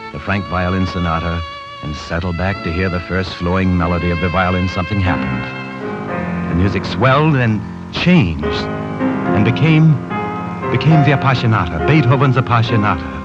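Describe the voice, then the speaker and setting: A haunted murderer in a radio drama. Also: male voice, sits low, animated, normal speed. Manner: brooding, haunted journal narration. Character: obsessed male murderer writing his journal. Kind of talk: 1940s radio drama acting. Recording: lo-fi old radio broadcast with music.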